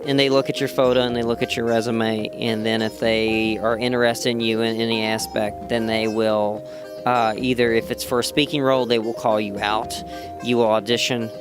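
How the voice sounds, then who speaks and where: A man's voice: mid-range voice, little pitch movement, normal speed. A man from West Virginia, an actor, answering interview questions at a fan convention in a rambling way full of "you know" fillers and restarts. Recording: music loud behind the voice. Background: music playing.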